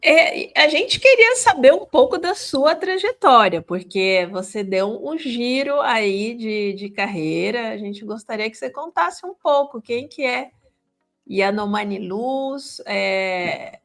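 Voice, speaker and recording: Slow-talking woman co-host with faint music. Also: on the high side, lively intonation, a bit bright. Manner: unhurried, drawn-out questions. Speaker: radio show co-host. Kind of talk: radio interview hosting.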